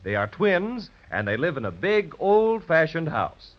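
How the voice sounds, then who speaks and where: A man's voice: on the high side, very animated, measured pace. A man narrating a children's serial as a theatrical 1930s radio announcer and storyteller. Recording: dull 1930s radio recording.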